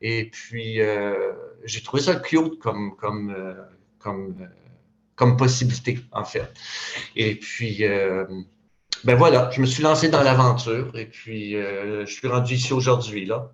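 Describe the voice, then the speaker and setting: A man's voice: on the low side, a little slow. A man of sixty-five with a Quebec French accent, speaking casually at a community panel, hesitant with many fillers and restarts. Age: 60s. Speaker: panel speaker, retired psychoeducator. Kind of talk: casual public talk. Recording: roomy, dull lo-fi sound.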